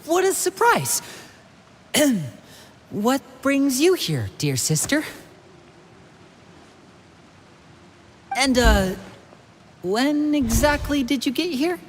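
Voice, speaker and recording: A woman's voice: high-pitched, big pitch swings, slow, pauses often, slight background noise, exaggerated voice. A woman voicing a protective, composed young man, an elder brother with a polite, persuasive manner, in a video game. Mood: startled. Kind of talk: game character voice acting.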